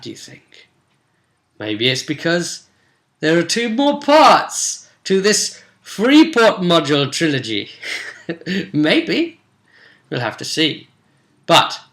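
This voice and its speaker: Bright-voiced man in an echoey room. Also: a little high, very animated, slow pace, long pauses. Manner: chatty, storytelling, acting out brief bits of dialogue. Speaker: game master and vidcast host. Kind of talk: informal recap of a tabletop role-playing session. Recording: roomy, echoing sound.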